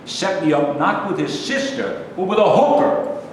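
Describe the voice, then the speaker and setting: A man's voice: sits high, very animated, normal speed. An actor playing a bitter, self-pitying man, ranting defensively and ruefully in a staged play reading. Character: bitter, self-pitying male loser recounting his failed love life. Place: live staged reading in a reverberant room. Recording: very echoey hall, distant mic, faint noise.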